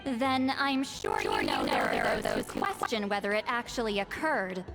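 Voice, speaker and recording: A woman's voice: medium pitch, huge pitch swings, normal speed, gravelly. A woman playing a proud, impatient, centuries-old but youthful-sounding shaman in a video game, brusque and dismissive. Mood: haughty. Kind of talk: English video game voice acting. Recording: loud music behind. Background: loud game music.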